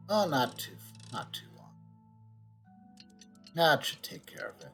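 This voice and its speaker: A man's voice: on the high side, sing-song, a little slow, fairly bright tone. A male streamer chatting casually and thinking aloud while he plays a game. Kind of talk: gameplay commentary. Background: game music.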